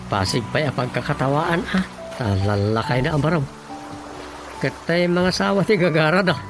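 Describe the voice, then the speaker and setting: A man with an Ilocano Filipino accent playing a casual, questioning rural husband in a comedic radio drama, conversational and low-key. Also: normal speed, over music, natural voice.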